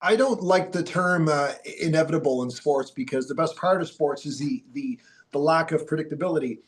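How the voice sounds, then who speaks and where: A man with a somewhat high voice hosts a sports podcast from a TV studio. He sounds stuffy from a cold, but he talks with an upbeat, polished broadcaster's delivery. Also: a little fast. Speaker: podcast host. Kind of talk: casual sports podcast chat.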